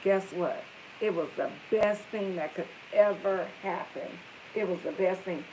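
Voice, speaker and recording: A woman's voice: medium pitch, unhurried, mellow, rough recording. Chatty fifty-something lady rambling through a story on a live stream, light hiss behind her. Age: 50s. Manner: chatty, wanders off topic, talks to viewers and family. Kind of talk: casual storytelling to viewers.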